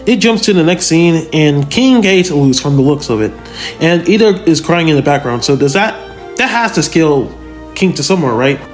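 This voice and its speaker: A man's voice: on the high side, lively intonation, moderate pace. A man giving informal analytical video commentary, talking straight to viewers in a conversational way with rhetorical questions. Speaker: video narrator, fan power-scaling reviewer. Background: background music.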